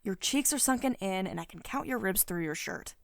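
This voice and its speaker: A commanding female CEO, acted by a woman, speaks in a dry, close ASMR roleplay recording. Her pitch moves freely as she reprimands in a condescending, sardonic way. Beneath the strict authority there is a caring edge. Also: expressive, a little fast, gravelly, no echo. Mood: concerned, exasperated. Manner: domineering, sardonic, clipped reprimands with sugary pet names.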